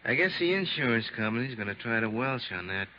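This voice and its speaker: An actor playing a smooth-talking, menacing hoodlum boss in slangy tough-guy style for a 1950s radio crime drama. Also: medium pitch, lively intonation, quick pace, natural voice. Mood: coaxing. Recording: dull, noisy 1950s radio recording.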